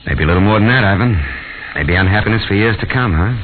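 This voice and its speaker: An actor as a deep-voiced American hard-boiled private eye. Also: male voice, quick pace, close to an everyday voice. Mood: sober, wry. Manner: clipped, wry, pressing. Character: hard-boiled male private eye, weary, shrewd, relentless. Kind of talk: 1940s radio detective drama, narration and dialogue. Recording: old lo-fi radio broadcast, music behind.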